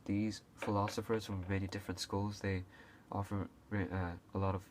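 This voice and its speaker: Young guy from hong kong, really low voice, lots of uhs and restarts, bit of faint hiss. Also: flat delivery, moderate pace. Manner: hesitant, many fillers and restarts, occasional coughs. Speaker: video creator. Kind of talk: casual unscripted talk to camera.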